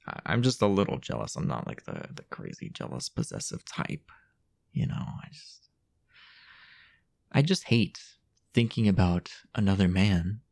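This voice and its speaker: Man acting deep, gravelly possessive boyfriend. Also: full character voice. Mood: jealous, defensive. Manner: halting, teasing, breathy half-whispered asides. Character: possessive, jealous, dominant young boyfriend. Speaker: audio roleplay voice actor. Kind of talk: improvised intimate boyfriend audio roleplay.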